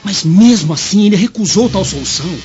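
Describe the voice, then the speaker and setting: An actor playing a nervous, deferential aide in a radio drama, with an urgent, formal delivery. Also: male voice, high-pitched, animated, brisk, darkish tone, lo-fi sound, faint music behind. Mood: earnest. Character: nervous, deferential male aide to a Roman governor.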